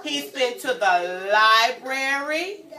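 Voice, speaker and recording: A high-pitched woman leads an interactive children's story time at an unhurried pace. She is animated and keeps asking questions for the children to answer. The recording is roomy and distant, with children around her. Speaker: guest reader and teacher at children's story time. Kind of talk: interactive read-aloud to young children. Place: indoor room with a group of children. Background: children's voices.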